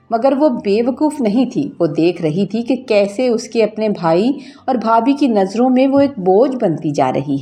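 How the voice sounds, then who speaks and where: Mellow-voiced woman telling an emotional story. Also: medium pitch. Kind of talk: scripted narration of an emotional story.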